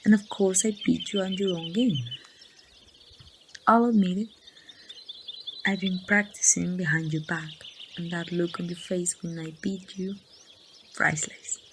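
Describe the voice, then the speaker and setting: A woman playing a grieving young woman confiding in her lost best friend in a halting, wistful ASMR roleplay monologue. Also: on the low side, steady tone, a little hiss or hum. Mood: fond reminiscing. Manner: slow, halting, wistful storytelling. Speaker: amateur voice actor.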